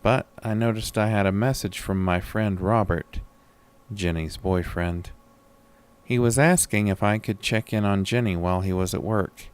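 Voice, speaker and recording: Low-voiced male horror narrator. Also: normal speed, smooth. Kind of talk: first-person horror story narration.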